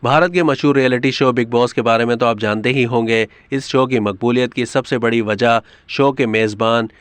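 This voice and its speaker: A man's voice: medium pitch, fairly bright tone, smooth, no echo. A man reading a scripted narration for an entertainment news video.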